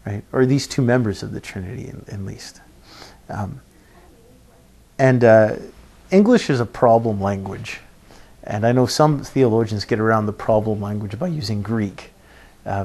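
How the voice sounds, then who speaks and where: A man giving an informal expository sermon as a preacher, halting and thinking aloud, often checking in with a short tag question. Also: fairly low pitch, a little mellow. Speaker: preacher teaching a Bible passage.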